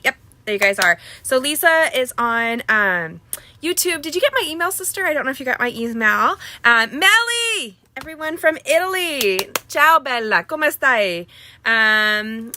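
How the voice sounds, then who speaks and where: A woman's voice: sits high, sharp tone, a little hiss or hum. A middle-aged woman hosting a live craft class, chatting casually with viewers, greeting them by name, with plenty of filler words. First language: Spanish.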